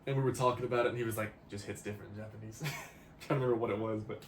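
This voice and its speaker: A man's voice: lowish voice, fairly even intonation, racing. A young man co-hosting a podcast, easygoing and agreeable in casual chat. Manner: easygoing, agreeable, laughs often. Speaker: podcast co-host. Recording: roomy echo, faint ambient noise.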